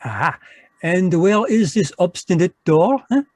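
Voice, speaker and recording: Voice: mid-range voice, big pitch swings, unhurried, a little mellow, no echo. A performer voicing a fussy, polite, shrewd Belgian male detective with a Belgian French accent, speaking courtly and hesitant in a live radio drama read over a video call.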